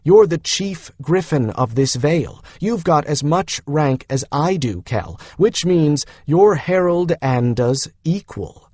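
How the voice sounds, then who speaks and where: A man's voice: a little high, lively intonation, slow, hardly any pauses, darkish tone, no room sound. A man playing an easygoing, frank young man in an audiobook, talking in a casual, encouraging manner. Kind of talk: audiobook character voice.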